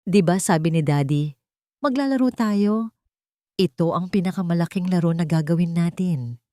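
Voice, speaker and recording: A woman voicing a loving wealthy father who speaks gently and reassuringly to his son. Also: lowish voice, normal speed, no echo, clean studio sound, natural voice. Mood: gentle. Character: loving rich father posing as a poor man.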